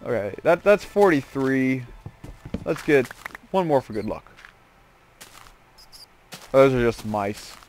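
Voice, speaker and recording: A man's voice: mid-range voice, very animated, slow, pauses often, a little mellow. A man giving casual, rambling game commentary as host, drifting into joking asides. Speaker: host of a gaming series. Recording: clear background noise with clicks.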